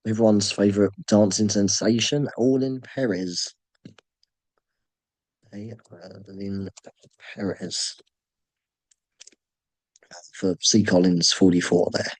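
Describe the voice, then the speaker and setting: A man whose voice is worn down by a sore throat hosts a casual online draw from his computer. He speaks low and haltingly, stopping often for ums and uhs. The sound is close and dry, with no room echo. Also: fairly even intonation. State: sore throat, voice nearly gone. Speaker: host running a fantasy draw. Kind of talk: casual screen-share commentary.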